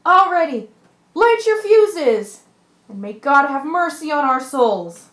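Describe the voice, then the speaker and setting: A woman's voice: sits high, big pitch swings, slow, a bit bright, exaggerated voice. A woman playing a resolute male ship's mate in an amateur radio drama, earnest and commanding, sometimes stumbling over lines. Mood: urgent, commanding. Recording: echoey room.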